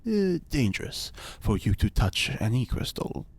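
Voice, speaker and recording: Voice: medium pitch, very animated, a little slow, creaky, breathy edge, no echo, exaggerated voice. An actor playing an eccentric, pompous mad scientist and cult-style ritual leader in a podcast drama, speaking in a grandiose, pedantic way. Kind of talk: audio fiction podcast acting.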